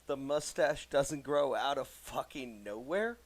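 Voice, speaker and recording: A man's voice: mid-range voice, lively intonation, measured pace. A man in casual comedic podcast chat, speaking bluntly with slang and swearing. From United States.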